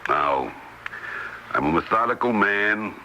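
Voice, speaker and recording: An actor playing a gruff, needling middle-aged card cheat with streetwise banter in a radio drama. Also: male voice, on the low side, lively intonation, slow pace, bright, forceful tone, heavily acted. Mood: subdued, confessional. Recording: noisy old radio drama recording.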